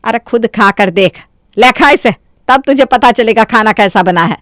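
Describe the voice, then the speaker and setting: A woman voicing a quick-tempered, berating Indian mother-in-law in a Hindi cartoon story. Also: on the high side, a bit bright, no echo, clean studio sound, natural voice. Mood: disgusted. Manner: harsh, exclamatory, berating.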